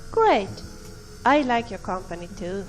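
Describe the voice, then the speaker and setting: A woman's voice: mid-range voice, very animated, unhurried. A woman voicing an earnest, homesick young companion adventurer in a fantasy video game, speaking sincerely. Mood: chatty, eager. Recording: music behind the voice.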